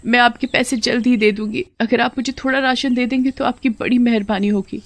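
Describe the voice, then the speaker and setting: A poor young mother who works as a housemaid speaks in a storybook drama, acted by a woman. She is humble and anxious, pleading softly for help. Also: a little high, steady tone, a little fast, no echo, voice pushed up, close to an everyday voice. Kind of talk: Hindi moral-story character acting.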